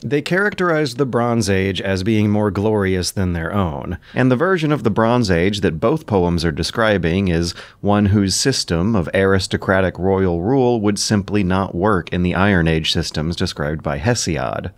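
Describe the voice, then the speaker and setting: A man reads scripted commentary in a measured, explanatory way. His voice sits somewhat low. The recording is dry, with very little room sound. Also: expressive, dry recording. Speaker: commentator on his own audiobook adaptation.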